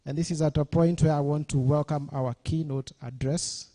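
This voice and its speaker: A man's voice: a little high, steady tone, normal speed, warm tone. A man with a Kenyan accent emceeing a launch event, chatty and engaging the audience. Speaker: master of ceremonies. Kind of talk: event hosting.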